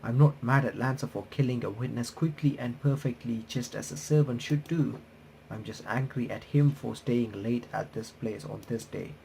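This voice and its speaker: A man reading visual novel text aloud with bits of his own commentary, halting and correcting himself as he goes. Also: mid-range voice, creaky, mellow, slight background noise, rough recording. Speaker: gaming reaction streamer. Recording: music playing behind the voice. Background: game music.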